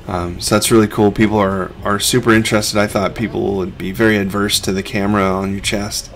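A man's voice: lowish voice, level tone, fairly quick. A middle-aged man, a reseller who hosts a vlog, narrating over his footage in a laid-back, conversational way.

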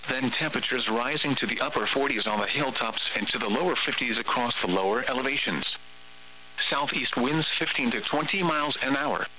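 A synthetic man's voice, extremely bright and crisp-edged, reads a weather forecast like an automated radio announcer. The delivery is formal and measured, at a medium pitch. A faint hum runs under the radio broadcast. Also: poor audio. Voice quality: synthetic text-to-speech voice. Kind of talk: scripted weather forecast reading.

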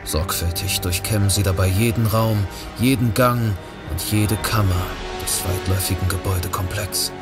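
An actor as the solemn narrator of a suspense audio drama, reading scripted narration. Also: male voice, deep voice, normal speed, hardly any pauses, over loud music, close to an everyday voice. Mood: solemn, suspenseful. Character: solemn male narrator of an icy thriller.

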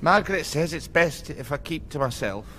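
An actor playing an eccentric, shifty older British man in a video game, gruff and colloquial as he swings between cajoling and muttering. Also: on the high side, expressive, normal speed, bright, forceful tone, a little hiss or hum. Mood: flustered, muttering. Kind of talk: video game cutscene acting.

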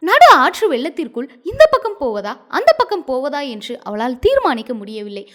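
A woman storyteller narrating a scripted moral tale in a dramatic, expressive manner. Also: sits high, brisk.

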